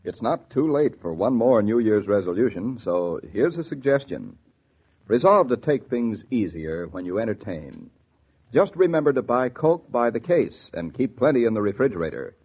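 A man announces in classic 1940s American radio style. His mid-pitched voice is lively and husky. The vintage transcription is thin and tinny. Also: moderate pace. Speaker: radio announcer and sponsor's spokesman.

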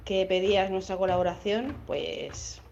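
A woman's voice: medium pitch, a little slow, a little mellow, slight background noise. A woman with an accent from Spain leaving a casual voice message as a radio listener. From Madrid, Spain. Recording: dull voice message under clear music.

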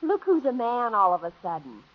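Sassy American teen girl, very high, swooping intonation, sarcastic, muffled 1950s radio comedy. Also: very animated, brisk. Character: sarcastic, wisecracking teenage daughter. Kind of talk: 1950s radio sitcom acting. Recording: muffled old radio recording.

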